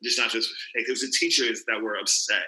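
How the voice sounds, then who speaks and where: Halting man with a bright voice. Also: lowish voice, echoey room, poor audio. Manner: halting, many restarts and fillers. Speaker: podcast co-host, teacher. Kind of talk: casual chat.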